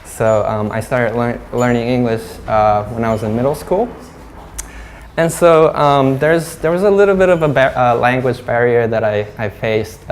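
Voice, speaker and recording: A young Japanese man from Hong Kong, a glass artist, giving a halting talk about his work in a gallery, with many fillers and restarts. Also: mid-range voice, a little hiss or hum.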